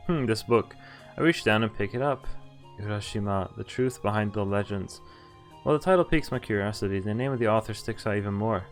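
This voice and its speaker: A man's voice: lowish voice, brisk. A man streaming a visual novel, reading its text aloud with casual commentary over game music. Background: game background music.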